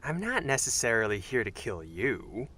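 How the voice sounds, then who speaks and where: A man voicing a cocky, charming young dragon assassin in a teasing, flippant way for an animated fan dub. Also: medium pitch, normal speed, no room sound. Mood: flippant, coaxing.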